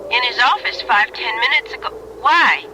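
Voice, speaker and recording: An actress in an old-time radio drama playing a chatty, nosy small-town telephone operator. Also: female voice, fairly high pitch, normal speed, lightly acted. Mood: curious. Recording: noisy old radio recording.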